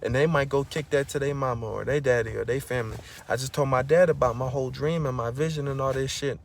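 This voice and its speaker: A man vlogging a casual motivational monologue, preachy and full of slang, often saying "bro" and "you know what I'm saying," getting loud and high when he is fired up. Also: fairly even intonation, quick pace, vocal fry, a little hiss or hum.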